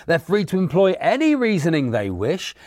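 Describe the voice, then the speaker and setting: A man hosting a comedy sports panel show, running the quiz in a brisk, playful way. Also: high-pitched, wildly swooping pitch, a little mellow, dry recording, clean studio sound. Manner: brisk, playful quizmaster delivery. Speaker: quiz host.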